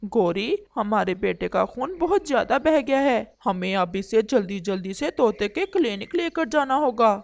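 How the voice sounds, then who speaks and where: A worried male crow from a cartoon fable played by a woman. The father bird speaks in a lowered voice with anxious, urgent emphasis. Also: expressive, normal speed, gravelly, hardly any pauses.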